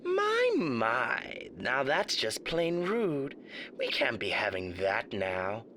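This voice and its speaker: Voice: mid-range voice, wildly swooping pitch, takes it slowly, bright, forceful tone, slight background noise, full character voice. An actor playing a smug, sadistic male radio demon in an ASMR roleplay, theatrical, mock-polite and taunting. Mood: mock politeness.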